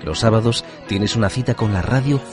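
Low-voiced male promo announcer over loud music. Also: a little fast. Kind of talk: scripted radio promo.

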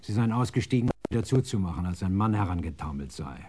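An actor playing a self-assured police sergeant in a radio crime drama, recounting a case in measured, orderly fashion. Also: male voice, low-pitched, lively intonation, background noise. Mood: matter-of-fact.

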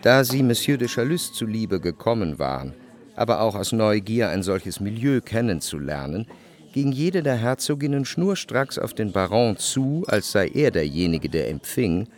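Radio drama narrator: observant society guest telling the story in a lowish voice. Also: male voice, very animated, moderate pace, darkish tone. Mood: observant, composed. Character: observant first-person narrator, a salon guest. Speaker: first-person narrator.

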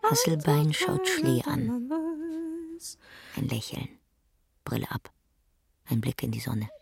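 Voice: medium pitch, huge pitch swings, slow pace, creaky, crowd noise. A narrator reading clipped, scripted stage directions in a drama production.

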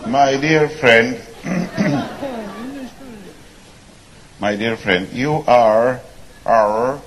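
A man's voice: mid-range voice, very slow, a crowd in the background, low-quality recording. A man playing a fussy, mock-learned language scholar in a live stage comedy sketch. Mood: pedantic. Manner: mock-learned, overly formal. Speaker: comic stage performer. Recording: live stage with background noise.

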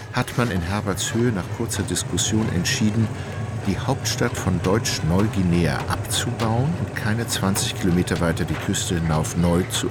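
A man as a radio drama narrator with a deep voice and measured, literary phrasing. He is wry and ironic, with clear ambient noise behind him. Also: lightly acted. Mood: measured, detached.

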